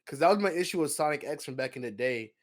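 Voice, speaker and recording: A man with a fairly high voice chats casually on a podcast. He talks in slang and pushes his opinions, often doubling back over his words to make the point. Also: lively intonation, fast talker. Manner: slangy, opinionated, repeats himself. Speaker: podcast co-host. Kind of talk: casual chat, film discussion.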